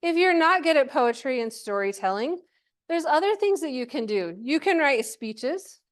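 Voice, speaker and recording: A woman's voice: high voice, animated, moderate pace. A woman giving an informal workshop presentation to a live group, chatty and encouraging, often checking in with questions.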